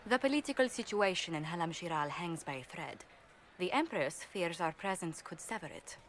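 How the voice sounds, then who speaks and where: A woman's voice: fairly low pitch, animated, normal speed, slight background noise, close to an everyday voice. An actress playing a shrewd, poised woman diplomat in a video game, speaking precisely, formally and earnestly. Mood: briefing, serious.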